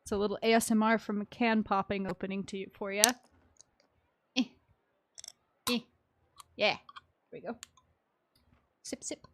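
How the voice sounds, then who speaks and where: A woman's voice: medium pitch, fairly even intonation, normal speed, long pauses, noisy background. A woman streaming a game with casual, chatty, giggly and playfully dramatic commentary.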